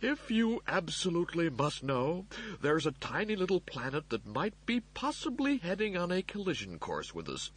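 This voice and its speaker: A man's voice: fairly high pitch, huge pitch swings, moderate pace, a bit bright, breathy edge, voice pushed up, heavily acted. A man voicing a male android servant in an audiobook, overly soothing and fussy while hiding panic. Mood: soothing, suppressed panic. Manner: overly soothing, euphemistic reassurance. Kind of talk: audiobook character voice.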